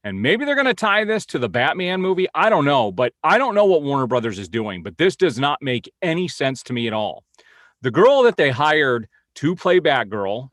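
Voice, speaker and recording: A man's voice: medium pitch, a bit bright, no echo, clean studio sound. A man hosting an informal news update and opinion vlog, speaking in a blunt, opinionated way. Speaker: host of a comic-book film news vlog.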